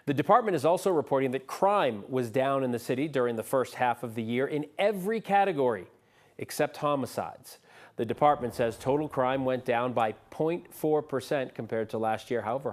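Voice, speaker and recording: A male news anchor reads the day's stories in a steady, measured way. His voice sits at an ordinary middle pitch. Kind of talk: scripted news reading.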